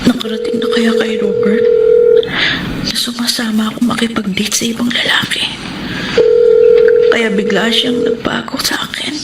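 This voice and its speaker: A woman's voice: a little high, level tone, normal speed, long pauses, very noisy, natural voice. An actress playing an anxious, easily hurt, lovesick young woman in a Filipino radio romance drama. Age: young adult. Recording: roomy studio echo under loud music.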